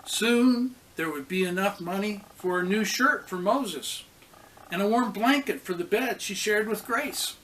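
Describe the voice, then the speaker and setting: A man's voice: high-pitched, a little slow, a bit bright, a little hiss or hum, low-quality recording. A man reading a storybook aloud to children as a pastor, expressively acting out the characters' lines.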